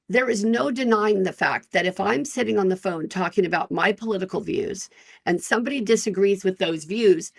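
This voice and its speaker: Loud, energetic woman lawyer podcasting. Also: medium pitch, vocal fry. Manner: frank, conversational, addresses listeners directly. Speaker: employment lawyer and podcast host. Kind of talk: solo podcast talk.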